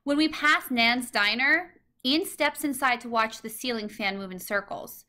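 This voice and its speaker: Bright-voiced woman reading a storybook. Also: on the high side, measured pace. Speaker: storybook reader. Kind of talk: scripted children's story narration, read aloud.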